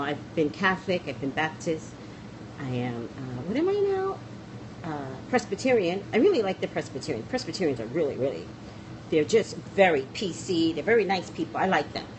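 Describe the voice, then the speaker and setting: A woman's voice: sits low, noisy background. A middle-aged woman hosting a psychic livestream, chatting in a rambling way that keeps trailing off and restarting, filmed on a phone at arm's length in a small room. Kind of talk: casual livestream chat. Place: small indoor room against a plain wall. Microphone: phone camera at arm's length.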